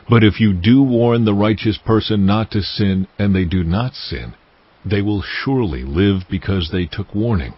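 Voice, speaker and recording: Stern, commanding God for scripture drama: deep and solemn, slightly muffled recording with music behind. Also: male voice, slightly rough, full character voice. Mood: grave, warning. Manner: solemn, weighty, deliberate delivery.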